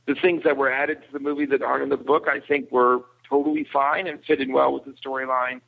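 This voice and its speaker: A man's voice: medium pitch, level tone, brisk, vocal fry. A man chatting casually as a podcast guest, hesitant and searching for words. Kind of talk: casual chat, film review. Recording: tinny phone-like line.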